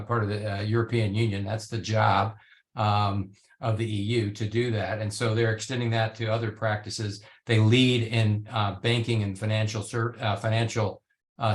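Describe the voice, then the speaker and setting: A man who grew up in Belgium and Italy, speaking as a panelist on a video call in a measured way with many fillers and restarts. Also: lowish voice. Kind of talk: virtual panel discussion.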